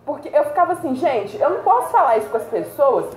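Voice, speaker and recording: A young woman chats in a high voice, animated and breaking into laughter often. She sits indoors against a plain wall, and the room adds a noticeable echo with a faint hiss under it. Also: quick pace. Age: young adult. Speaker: co-host. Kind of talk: casual chat. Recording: roomy echo.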